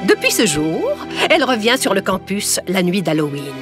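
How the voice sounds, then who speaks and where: A woman's voice: medium pitch, big pitch swings, moderate pace, close to an everyday voice. A woman voicing a theatrical, bossy schoolteacher in a French cartoon, with dramatic, haughty, articulate delivery. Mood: ominous storytelling. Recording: music behind the voice.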